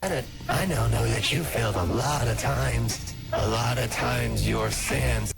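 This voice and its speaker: A man's voice: medium pitch, takes it slowly, vocal fry. A man voicing a menacing, taunting skeleton villain in a fan comic dub, drawling with smug false friendliness. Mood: weary, scornful. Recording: music playing under the voice. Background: music.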